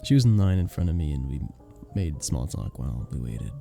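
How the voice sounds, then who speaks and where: Man acting a dark, velvety, gentle vampire bartender. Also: extremely low pitch, sing-song, fairly quick, vocal fry, soft background music, full character voice. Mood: modest, amiable. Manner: gentle, polite, slightly formal, bashful chuckles. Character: charming, sweet, modest young-looking male vampire bartender. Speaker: voice actor in an audio roleplay. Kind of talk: soft-spoken ASMR roleplay acting.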